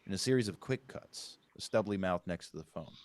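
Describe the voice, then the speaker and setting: A man's voice: deep voice, lively intonation, brisk, no room sound. A man reading stage directions as scripted narration at a live table read, occasionally correcting himself.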